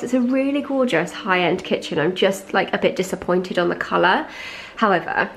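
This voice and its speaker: A young British woman with a stuffy head cold, chatting to camera in a casual home vlog, rambling with lots of fillers, filmed at arm's length in her kitchen. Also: medium pitch. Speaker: lifestyle vlogger. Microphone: handheld camera at arm's length.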